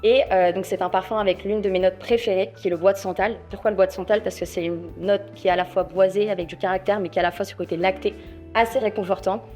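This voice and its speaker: A woman contestant pitching a product to judges off the cuff, with lots of fillers and a chatty, persuasive manner. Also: steady tone, fast, darkish tone, faint music behind. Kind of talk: improvised sales pitch.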